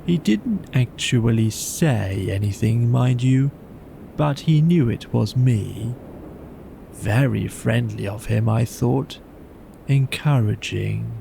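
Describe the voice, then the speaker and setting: A doleful, self-pitying old donkey from a children's storybook, acted by a man. The delivery is deadpan and very slow, with a mellow, gravelly tone. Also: medium pitch, a little hiss or hum, low-quality recording. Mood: gloomy.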